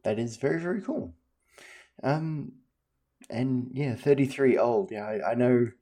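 A man in his forties hosting a relaxed interview. His voice is mellow and warm. He is enthusiastic and trips over repeated words as he talks. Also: medium pitch, unhurried. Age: 40s. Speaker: interview host. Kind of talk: casual interview chat.

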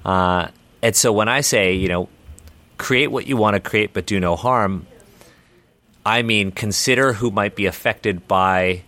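A man's voice: fairly low pitch, measured pace, sharp tone. A man answering interview questions, explaining earnestly at length with frequent restarts. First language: Chinese not first language.

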